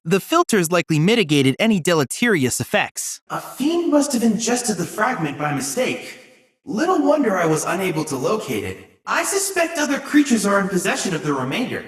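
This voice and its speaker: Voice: medium pitch, sing-song, fairly quick, slightly rough, no room sound, studio quality. An actor voicing an earnest, brainy boy-like android assistant in a video game, youthful-sounding and speaking in formal, precise, bookish phrases. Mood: earnest, analytical, then thoughtful. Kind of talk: video game voice acting, scripted dialogue.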